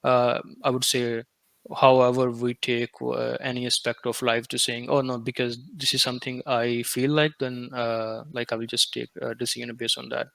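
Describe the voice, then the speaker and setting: A man's voice: mid-range voice, little pitch movement, gravelly, fairly bright tone, no echo, low-quality recording. Pakistani-born man hosting a podcast. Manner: halting, with many fillers, mixing English and Hindi-Urdu. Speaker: podcast host. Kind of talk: casual podcast discussion.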